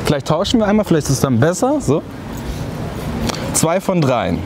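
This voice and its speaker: A man's voice: on the high side, sing-song. Chatty man interviewing on a busy street. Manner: upbeat, chatty, quick to affirm. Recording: crowd noise from the street.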